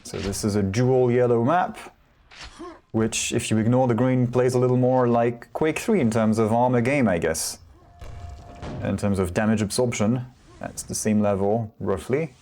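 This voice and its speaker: A man casting a competitive video game match solo, chatty and relaxed, often correcting himself. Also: medium pitch, normal speed, slight background noise. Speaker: esports caster. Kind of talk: solo play-by-play commentary.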